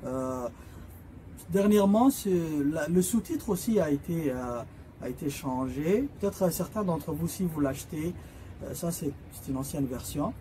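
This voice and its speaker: A man's voice: fairly high pitch, expressive, normal speed, dark tone, a little hiss or hum. A man whose first language is Somali, an author presenting his books in an informal talk, hesitating often with filler sounds and restarts as he explains. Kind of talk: informal spoken book presentation.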